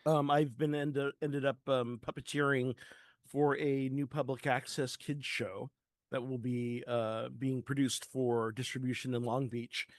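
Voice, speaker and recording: A man's voice: medium pitch, flat delivery, a little slow, no echo. A man from San Diego co-hosting a podcast in casual chat, hesitant with many ums. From San Diego, California. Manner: hesitant, full of ums and restarts. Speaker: podcast co-host.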